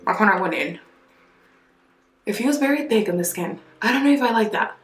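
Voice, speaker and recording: A woman's voice: mid-range voice, fairly quick, echoey room. A young woman reviewing skincare products in a casual, candid chat, speaking to a camera close in front of her at home. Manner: chatty, candid, animated asides. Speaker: beauty vlogger. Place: home bathroom, indoors.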